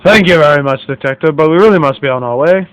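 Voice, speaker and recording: A man's voice: a little high, quick pace, a bit bright, clean tone, natural voice, poor audio. A man playing a courteous, wealthy businessman hosting a party in a radio mystery. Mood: gracious. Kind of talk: radio mystery play acting.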